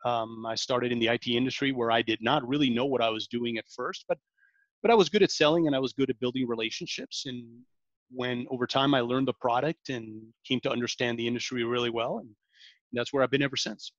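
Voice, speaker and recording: A man in his forties from Venezuela, a sales director talking as a podcast guest, halting often with ums and restarts. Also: medium pitch, dry recording, muffled recording. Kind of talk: interview conversation.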